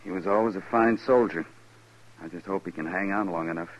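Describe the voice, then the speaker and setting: A man playing an earnest, worried Army lieutenant, polite and guarded, with a husky voice in 1950s radio western style. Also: low voice, brisk, dull audio, close to an everyday voice.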